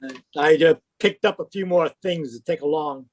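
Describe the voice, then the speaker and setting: A man's voice: on the high side, moderate pace, dry recording. A man playing a crusty, irritable older man in a radio drama read live over a video call. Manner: gruff, irritable, suspicious. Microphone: home microphone on a video call.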